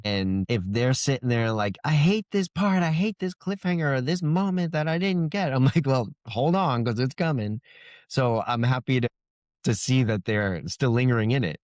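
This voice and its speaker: A man's voice: medium pitch, moderate pace, a little mellow, dry recording, studio quality. A man speaking as an author guest in a casual interview, earnest and reflective. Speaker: guest, indie fantasy author.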